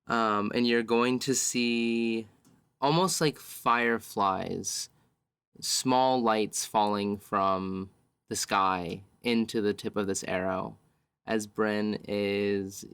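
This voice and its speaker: A man with a fairly low voice narrates a tabletop game as a player. He speaks very slowly, improvising as he goes, in a close, dry recording. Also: fairly bright tone, clean tone, no room sound. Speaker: player in an actual-play podcast.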